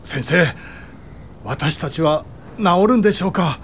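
A man's voice: a little high, lively intonation, measured pace, fairly bright tone, a little hiss or hum. An actor playing a worried, sick young father, imploring and anxious in a radio drama. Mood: anxious, dismayed.

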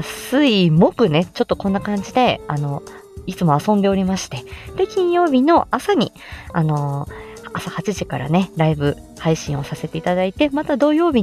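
A woman's voice: fairly low pitch, a little mellow. A woman rambling casually to her listeners as a stream host, giggly and self-deprecating. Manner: giggly, self-deprecating, laughs often at herself.

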